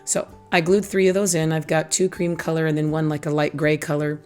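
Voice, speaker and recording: A middle-aged woman with a low, raspy voice, hoarse from a lingering illness, talks through a craft tutorial. She is chatty and pokes fun at herself as she goes. Soft music plays faintly behind her. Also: little pitch movement, brisk. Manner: chatty, self-teasing, laughs at her own jokes. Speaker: craft channel host. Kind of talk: casual craft tutorial voice-over.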